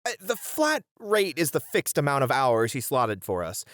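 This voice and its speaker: A man's voice: mid-range voice, huge pitch swings, moderate pace, a bit bright, dry recording. A man playing a strict, exasperated band manager in a scripted roleplay audio drama, with dry, chiding banter. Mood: defensive. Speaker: voice actor.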